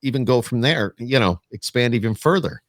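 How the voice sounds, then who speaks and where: A man's voice: medium pitch, expressive, a little fast, no echo, clean studio sound. A man hosting a casual remote interview, talking in an informal, rambling and self-correcting way. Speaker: podcast host, radio interviewer.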